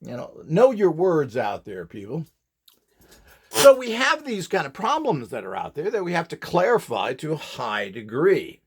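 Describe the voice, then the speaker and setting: A man's voice: a little high, wildly swooping pitch, normal speed, slightly rough. A middle-aged man giving an informal, opinionated lecture as an instructor, rambling off the cuff in a blunt, scornful and emphatic way. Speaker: instructor and host of a how-to video series.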